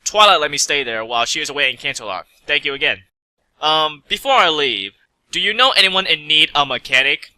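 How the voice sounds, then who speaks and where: A man's voice: fairly high pitch, animated, fairly quick, bright, forceful tone, no echo. A man playing a polite, slightly awkward stranded mechanic in a story reading, with a hesitant manner. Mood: polite, unsure.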